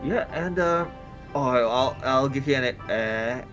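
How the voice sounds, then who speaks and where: A man's voice: medium pitch, takes it slowly. A man chatting casually, with a hesitant delivery that often stops and repeats words. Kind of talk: casual chat. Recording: music playing underneath.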